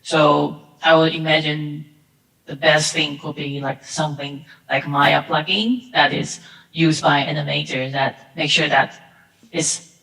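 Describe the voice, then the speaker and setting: A woman's voice: low-pitched, gravelly, fairly bright tone. A woman answering interview questions as a technical director guest, hesitant and repeating words. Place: theater. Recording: echoey hall.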